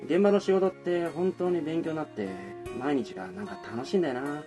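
A man's voice: on the high side, big pitch swings, a little fast. A man playing a confident, forward young architect from a rich family in a Japanese radio drama, talking casually. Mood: earnest, casual. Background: music with plucked guitar.

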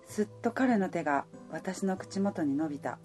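A woman's voice: on the low side, expressive, moderate pace, dark tone, faint music behind, lightly acted. A woman playing a romantic, easily flustered working woman, in radio drama dialogue and first-person narration. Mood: warm, reflective.

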